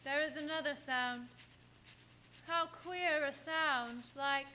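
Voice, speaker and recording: A woman's voice: high voice, sharp tone, slight background noise, exaggerated voice. An actress playing an entranced young woman who speaks like a haunted medium in a radio drama. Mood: fearful trance. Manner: slow, trance-like, repetitive. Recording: music under live-streamed performance.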